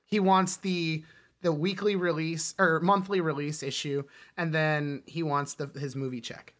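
A man's voice: on the high side, fairly even intonation, a little slow, a bit bright. A man co-hosting a podcast, chatting casually and animatedly, sometimes slipping into mimicry. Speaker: podcast co-host. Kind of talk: casual film and comics discussion.

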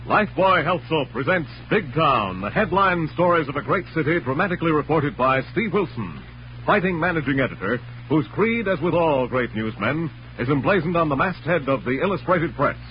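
A man's voice: a little high, lively intonation, moderate pace, faint music behind, natural voice. A man playing a dramatic announcer, narrating in scripted 1940s radio style. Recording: muffled old radio recording.

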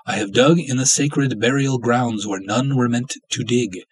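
A man voicing an obsessive, lovestruck villainous cultist in a dramatic story reading, florid, theatrical and menacing, with a husky voice. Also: medium pitch, measured pace, no room sound, poor audio. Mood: impassioned, pleading.

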